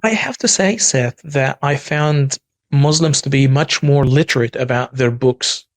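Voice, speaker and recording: A man's voice: medium pitch, a little slow, no echo. A man from Egypt with an Egyptian accent, a native Arabic speaker, talking as an interview guest with frequent restarts. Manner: frequent restarts and repeated words, earnest. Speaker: guest, human rights activist. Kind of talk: interview conversation.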